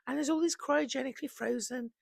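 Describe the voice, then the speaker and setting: A woman in her fifties, hoarse from losing her voice, chatting casually and rambling about books on her vlog into a microphone on a holder. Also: high voice, fast, dry recording, studio quality. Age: 50s. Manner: chatty, rambling, warm fond asides. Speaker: book vlogger.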